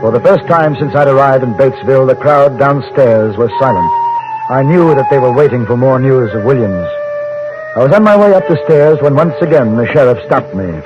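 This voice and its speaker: A man playing a composed gentleman newspaper correspondent, narrating an old-time radio western in measured, formal language. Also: medium pitch, animated, music in the background, close to an everyday voice. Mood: measured narration. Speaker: lead actor and narrator. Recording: dull vintage radio recording.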